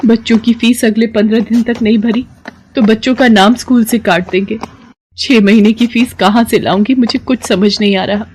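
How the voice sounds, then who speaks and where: A woman's voice: a little high, steady tone, moderate pace, darkish tone, slight background noise. A young woman narrating a storybook cartoon earnestly and voicing its heroine. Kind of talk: storybook narration with character voices.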